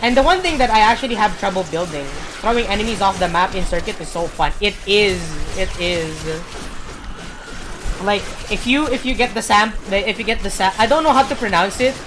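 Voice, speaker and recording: A woman streamer giving rambling gameplay commentary, full of fillers and pauses to search for words. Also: mid-range voice, noisy background.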